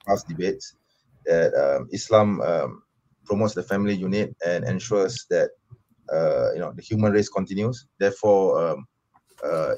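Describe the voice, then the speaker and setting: Low-voiced insistent man from Malaysia, lo-fi. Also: darkish tone. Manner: insistent, with many fillers and restarts. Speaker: debater. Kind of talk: online debate answering questions.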